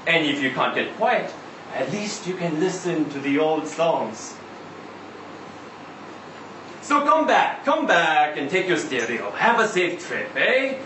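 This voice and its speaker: A man's voice: fairly high pitch, very animated, bright, forceful tone, heavily acted. Male actor as chatty Israeli cab driver, swooping intonation, insistent, sentimental, live monologue, distant echoey mic. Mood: wistful, warm. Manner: conversational, halting, with rhetorical questions and repeated tags. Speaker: reader at a live literary event. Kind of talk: dramatic monologue reading of a short story. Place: indoor live reading event. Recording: roomy live venue, distant mic, faint noise.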